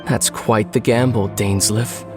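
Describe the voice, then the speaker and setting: An actor playing a calm, grave and self-assured rival commander, speaking formally and deliberately in a fantasy game. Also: male voice, lowish voice, music in the background, natural voice. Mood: composed, challenging. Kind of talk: dramatic fantasy game dialogue.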